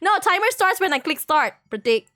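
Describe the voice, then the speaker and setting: Very high, animated woman streaming a game. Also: no room sound. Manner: excitable, giggly, repeats phrases rapidly. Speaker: video game livestreamer. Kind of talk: casual live gaming commentary.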